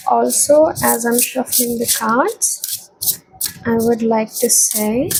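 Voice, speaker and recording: A woman doing a tarot reading to camera, feeling unwell and speaking lower than usual, soft and hesitant with frequent pauses. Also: slight background noise, low-quality recording. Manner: soft, hesitant, with frequent ums and repeated phrases. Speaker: tarot reader.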